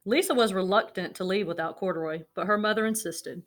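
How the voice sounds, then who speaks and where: Woman, medium-pitched voice, children's read-aloud narration, expressive storytelling. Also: a little fast, a little hiss or hum. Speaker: storybook reader.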